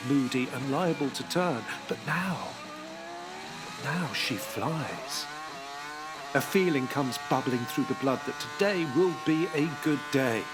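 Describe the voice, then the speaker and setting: A man narrating a dramatic audio drama, hushed and tense, then urgent. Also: mid-range voice, noisy background. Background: music.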